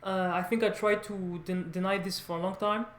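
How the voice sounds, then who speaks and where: A man talks off the cuff to his viewers. He speaks haltingly, circling back and restarting his phrases as he rambles. The recording has a clear room echo around his voice. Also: high voice, fairly even intonation, fairly bright tone. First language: French. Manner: halting, rambling, repeats words and corrects himself often. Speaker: vlogger, language learner. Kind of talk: casual unscripted monologue to viewers.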